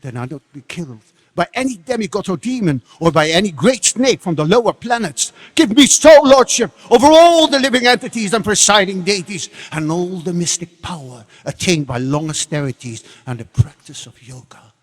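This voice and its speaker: A man's voice: high voice, expressive, normal speed. A man playing an ambitious demon king in a devotional dramatic reading, pleading formally and emphatically for power. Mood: demanding. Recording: hall with long reverb.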